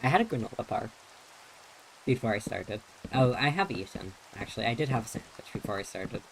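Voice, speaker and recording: A man's voice: lowish voice, expressive, fairly quick, creaky, a little hiss or hum, low-quality recording. Rambling young man with a feminine lilt. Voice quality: voice neutral leaning feminine, light feminine delivery. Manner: rambling, many restarts and filler words. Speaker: game streamer. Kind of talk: casual live-stream chat while playing.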